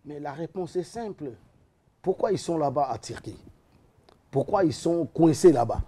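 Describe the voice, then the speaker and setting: A man's voice: fairly high pitch, expressive, moderate pace, warm tone, husky. A man born in Liège, talking as a guest in a casual interview, rambling and hesitant with many fillers. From Liège, Belgium.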